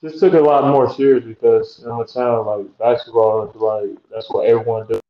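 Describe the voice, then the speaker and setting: A young man talks in an easygoing, rambling way, with lots of "uh" and "like" and sentences he restarts. His voice stays flat and even. The sound is muffled and dull, with a roomy echo around it. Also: medium pitch, moderate pace. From Georgia, USA. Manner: laid-back, rambling with many fillers and restarts. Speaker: guest, pro basketball player. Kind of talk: casual interview chat.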